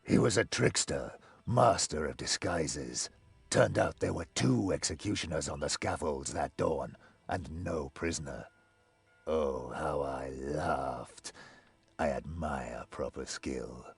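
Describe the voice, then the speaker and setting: Very deep, gravelly grim enforcer. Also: male voice, slow pace, dry recording, soft background music, heavily acted. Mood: wry recollection. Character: grim, menacing bloodhound enforcer with dry humour. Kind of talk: fantasy video game voice acting.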